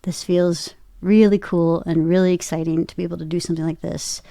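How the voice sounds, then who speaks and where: A woman's voice: on the low side, fairly even intonation, fairly quick, warm tone, no room sound, clean studio sound. A woman giving a casual interview as a guest, telling personal stories and often quoting her own thoughts as she goes. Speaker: voice actor and podcaster, interview guest.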